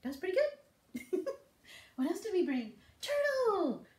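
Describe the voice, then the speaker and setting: A middle-aged woman speaks to small children in a high, very animated voice. She hosts storytime with playful, singsong encouragement, taking her time over the words. The room adds some echo. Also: slow. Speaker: children's storytime librarian host. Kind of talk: read-aloud, songs and rhymes for toddlers. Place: small book-lined office. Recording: roomy indoor echo.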